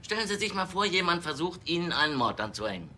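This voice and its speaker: An actor playing an indignant, combative lawyer in a TV crime comedy, speaking in a formal, pompous and demanding way. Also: male voice, on the high side, big pitch swings, quick pace, pushed and bright, slight background noise, exaggerated voice. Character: short, combative, indignant male lawyer in a suit.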